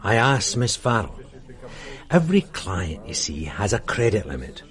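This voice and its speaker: A shrewd, steady police sergeant. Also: male voice, fairly low pitch. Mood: calm. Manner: calm, measured, explanatory.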